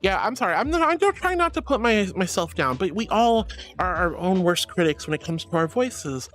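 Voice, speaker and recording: A woman's voice: medium pitch, big pitch swings, fairly quick, a bit bright, faint music behind. A woman streamer chatting casually with her viewers, rambling and giggly, often thanking them. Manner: rambling, giggly, talks straight to chat. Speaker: VTuber streamer. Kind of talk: casual livestream chat.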